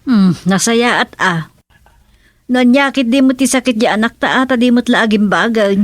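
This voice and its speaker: A woman's voice: on the high side, moderate pace, no room sound, lightly acted. A woman playing a worried village mother with an Ilocano accent in a radio drama. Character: worried village mother fretting over a sick child.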